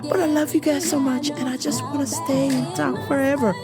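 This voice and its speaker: A woman hosting a solo podcast with a hoarse, worn-out voice, talking in a brash, profane, joking way over background music. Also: high-pitched, little pitch movement, a little fast. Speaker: podcast host.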